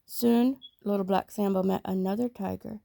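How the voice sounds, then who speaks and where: A woman reading a children's story aloud in a measured, expressive storytelling manner. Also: medium pitch, gravelly, no echo. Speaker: storybook reader.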